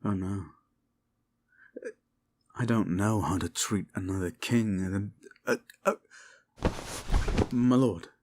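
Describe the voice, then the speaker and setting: Knight-captain, man acting: lowish, slow, weary and earnest, loyal soldier type for fantasy audio drama. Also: a little mellow. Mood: nervous. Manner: sombre, earnest.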